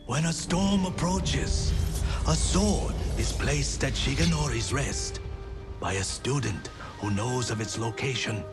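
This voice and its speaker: Game narration, male actor as solemn tale-teller, unhurried, measured and epic, prominent music underneath. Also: medium pitch, lightly acted. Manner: measured, dramatic, reverent. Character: solemn legend-telling storyteller of feudal Japan. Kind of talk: scripted legend narration in a game.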